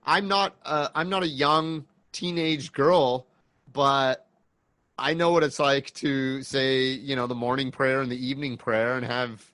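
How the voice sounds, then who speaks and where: A man's voice: mid-range voice, steady tone, a little slow, fairly bright tone, no room sound. A man in his thirties chatting casually as a podcast guest, enthusiastic and reflective. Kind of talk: casual chat.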